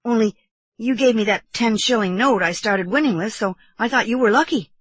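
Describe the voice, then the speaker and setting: A woman's voice: fairly high pitch, normal speed, breathy edge, no echo, voice pushed up. A woman playing a grave, insistent young English boy with secrets, in a storybook reading. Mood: pleading, earnest.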